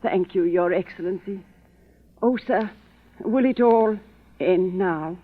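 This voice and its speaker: Female: bereaved genteel mother, anxious, pleading, husky, vintage radio drama with tinny sound. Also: medium pitch, slow pace. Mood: grieving, pleading. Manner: pleading, polite. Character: grieving, anxious, genteel mother of colonial family. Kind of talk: old-time radio drama acting. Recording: tinny, lo-fi old radio recording.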